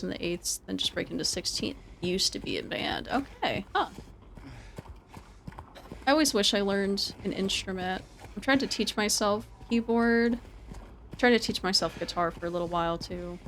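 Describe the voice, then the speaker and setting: A woman's voice: medium pitch, fairly bright tone, slight background noise. A woman giving casual live game commentary and chatting with viewers, informal and prone to repeating her words and phrases. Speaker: game streamer.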